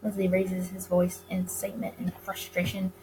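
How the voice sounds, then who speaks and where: A woman's voice: on the low side, monotone, normal speed. A woman doing a let's play, narrating story text aloud and stumbling over the odd word. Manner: stumbles over words and rereads lines. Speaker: gamer reading a visual novel aloud. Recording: clear background noise.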